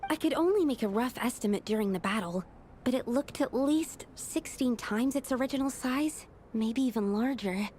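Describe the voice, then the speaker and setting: A woman voicing a curious young female alchemist in a video game, explaining in a thoughtful, measured way. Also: a little high, a little mellow, slight background noise, lightly acted.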